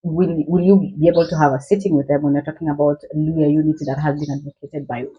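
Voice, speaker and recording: A man's voice: a little high, fairly even intonation, quick pace, slightly rough, highs cut off. A man with a Kenyan accent putting questions as an interviewer in a political interview.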